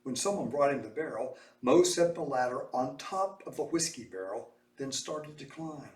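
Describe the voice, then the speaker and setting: A man reading a children's story aloud with animated, emphatic, dramatic delivery. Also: medium pitch, moderate pace. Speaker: storybook reader. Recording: echoey room, distant mic.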